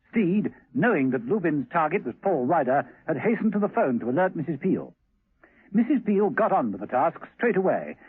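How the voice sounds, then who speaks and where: Casting: man as dramatic radio storyteller, fairly high voice, expressive, narrow old-broadcast sound. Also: breathy edge, no room sound. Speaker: narrator. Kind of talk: scripted dramatic radio narration. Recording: tinny vintage radio recording with music.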